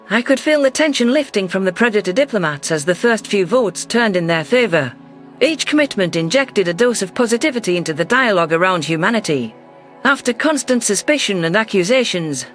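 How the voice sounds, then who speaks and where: A man's voice: sits high, a bit bright. A man narrating a science fiction story in the first person, reading a scripted text.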